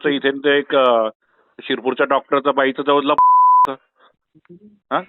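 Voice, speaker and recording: A man's voice: mid-range voice, huge pitch swings, a little slow, no room sound. A man in a private phone conversation, agitated and insistent yet deferential to his listener. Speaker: party worker. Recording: tinny phone call recording, dry.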